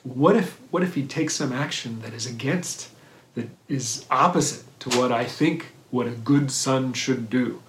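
A man giving a thoughtful dharma talk on mindfulness, pausing and restarting often and checking in with "right?". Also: mid-range voice, fairly bright tone, slight background noise. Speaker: meditation teacher. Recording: roomy echo.

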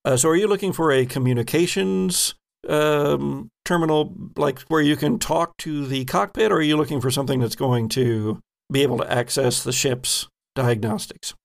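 A man's voice: on the high side, normal speed, vocal fry. A man running a tabletop role-playing game as game master, describing scenes and prompting the players. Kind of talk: tabletop game narration and rules talk.

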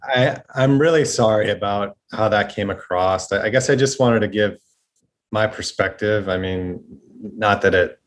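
A young man speaking as a podcast guest, hesitant and halting, with many restarts as he apologizes. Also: on the low side. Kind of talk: apologetic conversation.

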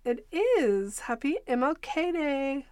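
A woman's voice: high voice, big pitch swings, takes it slowly, darkish tone. A woman co-hosting a podcast in casual chat, sounding stuffy and nasal from a cold, talking from her home booth. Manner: chatty, laughs often, trails off mid-sentence. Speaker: podcast co-host. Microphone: studio mic over video call.

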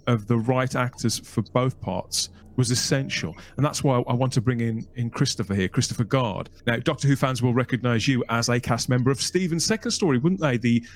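A man's voice: medium pitch, a little hiss or hum. A man hosting a podcast interview, asking leading questions that end in tags like "wasn't he?". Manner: animated, leading questions with tag questions. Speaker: podcast host. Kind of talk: conversational interview.

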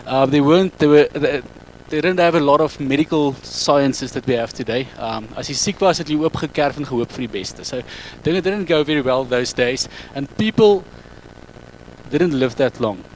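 A man with an Afrikaans accent addresses a live audience on a handheld mic. His English is halting, and he often corrects himself and pauses on an um. His voice sits at a middle pitch, with a little faint noise behind it. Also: a little mellow, low-quality recording. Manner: halting, self-correcting non-native English with frequent ums. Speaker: speaker at an evening talk. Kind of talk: public talk to a live audience.

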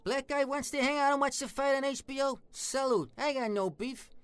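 High-pitched, brash New York tough guy. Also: normal speed, fairly bright tone, no echo. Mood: blustering. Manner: brash, profane, streetwise slangy talk. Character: foul-mouthed, bigoted Italian-American tough guy, brash and menacing. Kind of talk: comic dialogue acting.

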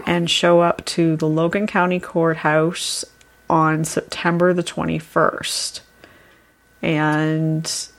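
A woman co-hosting a history podcast in casual chat, sounding sniffly and congested, chatty and quick to laugh. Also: fairly low pitch. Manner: chatty, laughing easily, reading news aloud. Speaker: podcast co-host.

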